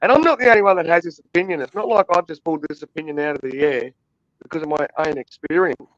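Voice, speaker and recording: A man's voice: a little high, fast talker, a bit bright, dry recording, dull audio. A man in heated casual chat, indignant and complaining at length, swearing often.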